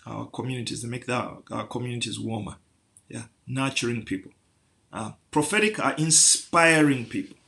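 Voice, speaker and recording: A man's voice: mid-range voice, normal speed, gravelly, sharp tone. A man preaching a sermon, building through rhetorical questions to emphatic peaks.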